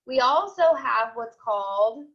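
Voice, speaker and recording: A woman's voice: fairly high pitch, expressive, unhurried, breathy edge. A woman teaching children in an informal online class, explaining things step by step and often checking they follow. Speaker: elementary school teacher. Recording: dull, lo-fi video call audio.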